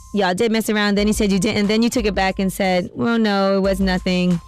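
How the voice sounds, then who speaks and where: A woman's voice: medium pitch, a little slow, faint music behind. Teasing woman interviewer. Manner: teasing and probing. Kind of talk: casual interview.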